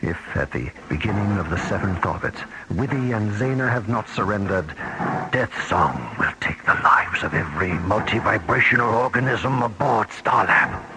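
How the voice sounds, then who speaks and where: An actor playing a megalomaniac space villain, gravelly, theatrical and menacing, in a science fiction radio drama. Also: male voice, fairly low pitch, lively intonation, natural voice, poor audio. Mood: cold threat. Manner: grandiose, menacing, theatrical, drawn-out. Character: megalomaniac, deranged space villain craving cosmic destruction. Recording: radio drama with loud music.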